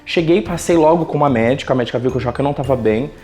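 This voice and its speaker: Fast-talking Brazilian man telling a story. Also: mid-range voice, faint music behind. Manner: colloquial, animated retelling with acted quotes. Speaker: YouTuber. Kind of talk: casual personal storytelling.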